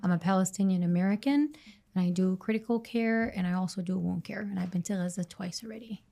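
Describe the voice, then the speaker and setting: Woman nurse guest, gravelly mid-pitched voice, earnest and halting. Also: warm tone. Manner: earnest, halting, restarts sentences. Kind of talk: interview answers.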